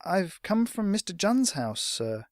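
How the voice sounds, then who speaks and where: A man's voice: sits high, big pitch swings, unhurried, no echo, clean studio sound, voice pushed up. A man voicing an eager but timid young boy who speaks hesitantly and politely.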